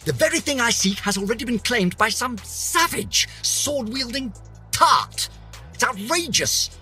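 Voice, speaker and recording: A man's voice: very high-pitched, very animated, measured pace, pushed and bright, soft background music, exaggerated voice. An actor voicing a pompous, vain older British scientist, theatrically narrating his journal for a game with haughty, florid melodrama. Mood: outraged. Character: pompous, vain, aging male scientist, indignant and obsessive.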